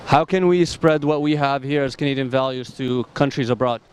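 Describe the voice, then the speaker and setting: A man interviewing passers-by on a city street, asking relaxed, friendly questions. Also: mid-range voice, brisk, a little hiss or hum.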